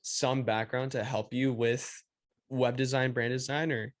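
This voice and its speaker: A young man hosting a casual interview on a video call, talking in a relaxed, rambling way full of "like" fillers; English is not his first language. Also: mid-range voice, measured pace. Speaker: podcast host. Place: small room at home. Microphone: studio mic on stand, video call.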